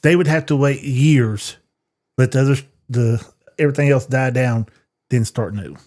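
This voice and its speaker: Male co-host, medium pitch, colloquial and direct, loose conversational delivery about pop culture. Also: moderate pace, a little mellow. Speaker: podcast co-host. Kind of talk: casual pop-culture chat.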